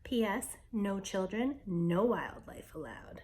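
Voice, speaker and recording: A woman's voice: medium pitch, animated, slow, a little mellow. A woman voicing a pompous storybook property developer with a grand, haughty manner.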